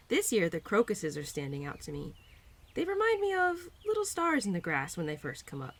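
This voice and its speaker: A woman's voice: medium pitch, huge pitch swings, moderate pace, pauses often. A woman playing a bubbly, affectionate young spirit of Spring in a roleplay audio drama, talking to the listener in a gushing, giggly, teasing way. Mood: gushing, fond.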